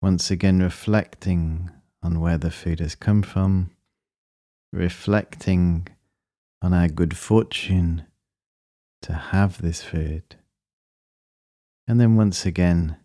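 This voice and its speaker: A man leading a guided meditation, speaking in a calm, measured and gently instructing manner. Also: sits low, no echo, studio quality.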